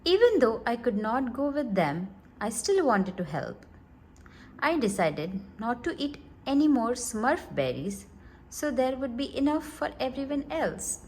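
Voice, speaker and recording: A woman reading a children's storybook aloud in an expressive, deliberate manner. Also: fairly high pitch. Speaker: storybook reader.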